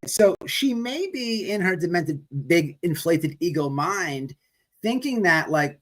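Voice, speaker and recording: A man hosting a livestream, giving casual, mocking commentary in a profane, repetitive, rambling way. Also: fairly high pitch.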